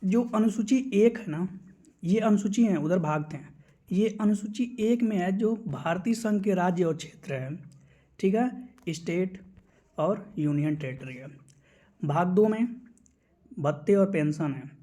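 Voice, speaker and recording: A man's voice: sits high, measured pace, dark tone. A man giving an instructional exam-prep lecture, explaining points item by item as a teacher.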